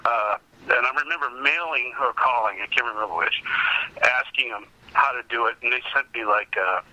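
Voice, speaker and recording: Bright, forceful middle-aged man telling stories. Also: mid-range voice, brisk, dull audio. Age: 50s. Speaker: podcast guest, comic collector. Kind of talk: casual anecdotal interview chat.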